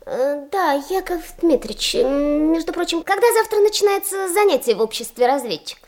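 Bossy little schoolgirl in a radio drama, high voice, super animated, cheeky. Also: moderate pace. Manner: commanding, cheeky, emphatic. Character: bossy, imperious schoolgirl giving orders to adults. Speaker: actress in a radio play. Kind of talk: theatrical radio drama acting.

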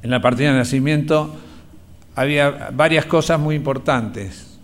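A man's voice: a little high, normal speed, a little hiss or hum. A middle-aged Argentine man giving conversational testimony in a hesitant, reflective way.

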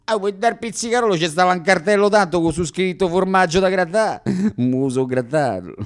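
High-pitched swaggering Roman bully, acted by a man. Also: normal speed, natural voice. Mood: aggrieved. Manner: swaggering, declamatory, slangy, with exaggerated emphasis. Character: boastful male Roman street bully, swaggering comic braggart. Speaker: stage actor performing a one-man radio play. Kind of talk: theatrical comic monologue in Roman dialect.

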